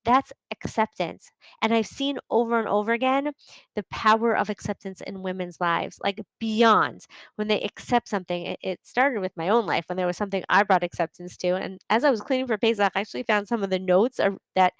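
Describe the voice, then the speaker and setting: A woman's voice: mid-range voice, fairly quick, no room sound, poor audio. A middle-aged woman hosting a solo podcast, talking earnestly and conversationally, often checking in with "right?". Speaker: podcast host and coach.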